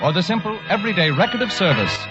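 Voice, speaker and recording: A man's voice: high-pitched, sing-song, fast, over loud music. Earnest 1940s male radio announcer. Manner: earnest, formal. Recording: dull old radio broadcast.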